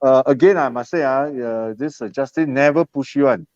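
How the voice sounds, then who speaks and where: A man's voice: mid-range voice, normal speed, a little mellow, no room sound. A man with a Singaporean English accent hosting a casual live stream, chatty and encouraging, with many fillers. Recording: muffled lo-fi live stream, dry.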